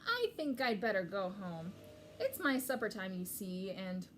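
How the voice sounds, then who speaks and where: A woman's voice: medium pitch, sing-song, measured pace, fairly bright tone, a little hiss or hum. A woman voicing a timid, polite little mouse from a storybook, with a hesitant, questioning manner.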